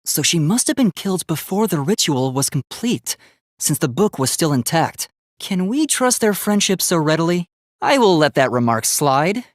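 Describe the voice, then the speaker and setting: A man's voice: a little high, very animated, normal speed, dry recording, clean studio sound. A man voicing an earnest, logical young robot student in a video game, speaking precisely and formally. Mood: analytical, formal. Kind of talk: English video game voice acting.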